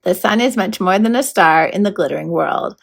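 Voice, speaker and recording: A woman's voice: medium pitch, expressive, brisk, creaky. A woman voicing a formal, fussy, stern male horned toad mentor in a storybook read-aloud. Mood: matter-of-fact. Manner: proper, grandly worded, matter-of-fact.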